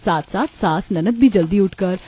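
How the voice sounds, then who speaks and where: A woman's voice: mid-range voice, normal speed, mellow, slightly rough. A woman reading scripted narration for a story.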